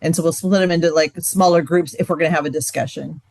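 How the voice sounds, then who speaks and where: A woman's voice: low voice, steady tone, fast, creaky, darkish tone, dry recording. A woman co-leading a marriage ministry, answering questions casually and chattily, with many "like" and "um" fillers. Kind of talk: training session talk.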